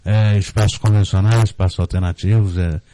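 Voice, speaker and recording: A middle-aged man with a Brazilian Portuguese accent, explaining in a casual radio interview in a halting way, often repeating words. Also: on the low side, fairly even intonation, dark tone, slight background noise. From Brazil, born in Rio de Janeiro, raised in Recife. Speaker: guest, storyteller and theatre group director. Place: radio studio, dry close sound.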